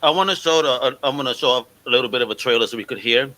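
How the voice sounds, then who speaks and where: A man with a bright, sharp voice of average pitch hosts a podcast. He sounds enthusiastic and informal, chatting with guests. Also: rapid-fire, poor audio. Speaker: podcast host. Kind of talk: casual interview chat.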